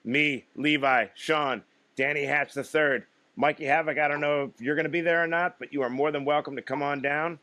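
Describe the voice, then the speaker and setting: A male comedian with a loud, blunt way of talking, recording from his garage with headphones on. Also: a little high, steady tone, brisk, no room sound. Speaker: comedian, podcast guest.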